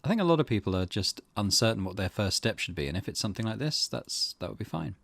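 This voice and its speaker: A man's voice: lowish voice, sing-song, quick pace, vocal fry, a bit bright, dry recording. A man hosting a gaming channel, commenting on a story game as he plays it and reading some of its text aloud.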